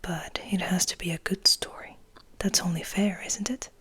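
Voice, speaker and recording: A woman's voice: lowish voice, fairly even intonation, normal speed, slightly rough. Woman whispering as an eager young male interviewer. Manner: hushed, earnest, keen. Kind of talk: whispered audiobook reading. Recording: noticeable background noise.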